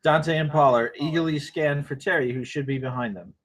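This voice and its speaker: A man narrating a script at a table read in measured, short phrases. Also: a little high. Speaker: narrator reading stage directions.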